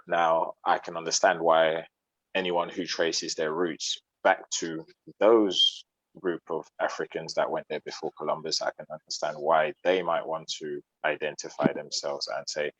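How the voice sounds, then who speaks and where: Very low-voiced man making his case point by point, muffled and dead-dry sound. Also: dry recording. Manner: measured, explanatory, lays out points in sequence. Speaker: debate participant. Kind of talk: discussion argument. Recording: dull, dry lo-fi audio.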